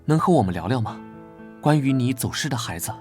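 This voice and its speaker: A man's voice: medium pitch, expressive, a little fast, faint music behind, close to an everyday voice. Courteous young knight voiced by a man. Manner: polite, considerate. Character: gentle, courteous young male knight investigator. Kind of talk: Chinese animated drama voice acting.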